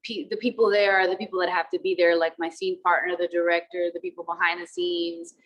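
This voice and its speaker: A woman's voice: fairly low pitch, little pitch movement, fairly quick, lo-fi sound. A woman in her thirties, from the Dominican Republic, talking casually over a video call about her acting work, mixing Spanish and English with many fillers. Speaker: actress interviewed about a film.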